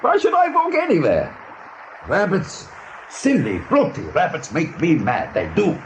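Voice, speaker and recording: A brash, excitable male bird in a radio play. He talks in blunt broken English with a scornful edge, and his pitch leaps wildly. There is faint noise behind him. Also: measured pace. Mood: indignant, scornful. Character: brash, excitable male bird who scolds silly rabbits.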